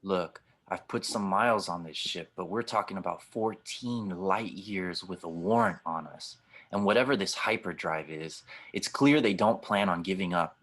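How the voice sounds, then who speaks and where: Man acting a blunt, low-voiced starship pilot. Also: normal speed, fairly bright tone. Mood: grave, blunt. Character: gruff, steady older male starship pilot, protective of his companion. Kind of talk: audio drama table read.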